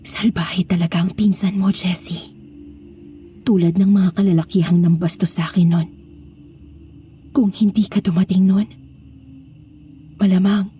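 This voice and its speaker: A woman's voice: fairly low pitch, steady tone, unhurried, long pauses, mellow, faint music behind, natural voice. A woman playing a stern, protective, secretive nun with a firm, solemn manner in an audio horror story. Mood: bitter, confiding.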